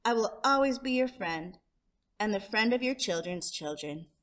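A woman's voice: medium pitch, expressive, normal speed, fairly bright tone, a little hiss or hum, natural voice. A woman voicing a clever, proud, friendly male coyote, declaiming in a children's storybook read-aloud. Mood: grateful.

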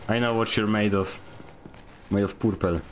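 A young man from Poland streaming a game, chatting casually and joking with his viewers in quick rambling asides. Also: on the low side, fairly even intonation. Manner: rambling, jokey, fast asides to chat. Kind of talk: casual gaming stream chat.

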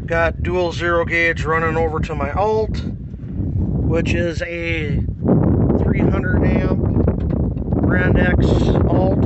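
Slow-talking man, higher voice, phone video. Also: dull audio. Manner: self-deprecating, coarse casual talk. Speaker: car audio hobbyist showing his system. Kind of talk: casual informal vlog walkthrough. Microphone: handheld phone. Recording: noisy phone recording around vehicle.

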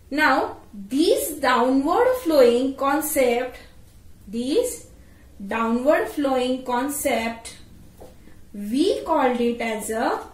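A woman's voice: high-pitched, darkish tone. A woman explains step by step like a teacher, repeating phrases and checking in with short tags. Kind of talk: explanatory tutorial lecture. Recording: roomy echo, distant microphone.

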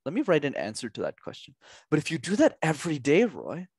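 A young man, a podcast guest and communication coach, giving energetic coaching advice with lots of emphasis and repetition over a headset mic at home. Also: a little high, fast talker, husky, no echo, studio quality. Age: young adult. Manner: animated, gestures a lot, drives points home with repetition. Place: small room at home.

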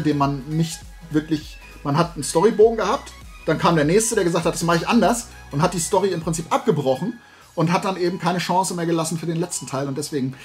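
A man in his forties with a fairly high-pitched voice talks as the host of a casual show. He gives his opinions in a colloquial, animated rant. Music plays behind him as he speaks from a desk indoors. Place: small room at home, indoors, at a desk.

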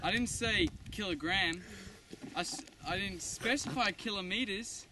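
Teen boy, high voice, goofy and crude, outdoor camcorder audio with heavy noise. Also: measured pace. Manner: goofy, joking, swears freely. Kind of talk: casual chat among friends. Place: outdoors on a rural farm, by a tent and dam. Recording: outdoor camera audio with loud wind and background noise.

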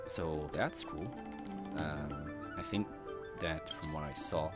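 A man's voice: extremely low pitch, takes it slowly. A man casually commenting over his gameplay, rambling and hesitant with many fillers and restarts. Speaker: gaming streamer. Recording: game music loud behind voice.

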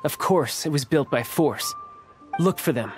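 A man's voice: a little high, lively intonation, unhurried, faint music behind. A man voicing a suave young foreign envoy in a video game, playful and slyly villainous, with a breezy, teasing manner. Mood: earnest, intriguing. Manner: breezy, teasing, smooth-talking. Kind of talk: video game cutscene voice acting.

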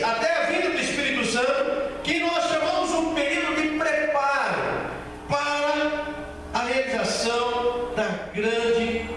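A man in his thirties with a Brazilian accent, preaching a sermon slowly and emphatically with a handheld mic, repeating key phrases. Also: very high voice, fairly bright tone, a little hiss or hum. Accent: Brazilian Portuguese. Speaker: pastor. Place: large bare church hall with a small stage. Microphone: handheld mic, filmed by far camera. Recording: echoey hall from far off.

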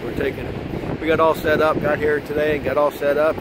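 Relaxed man talking from a moving car, loud wind and road noise behind. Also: fairly high pitch, brisk. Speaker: vlogger's husband. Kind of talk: casual travel vlog narration. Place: in a vehicle driving on a beach.

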